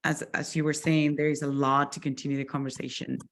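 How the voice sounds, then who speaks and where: A woman's voice: deep voice, little pitch movement, fast talker. A woman hosting an online meeting on a video call, speaking with some hesitant fillers. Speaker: meeting host.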